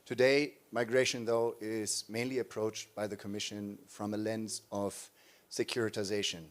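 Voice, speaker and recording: A man's voice: on the low side, flat delivery, moderate pace. A young man from the audience asking a structured, earnest question.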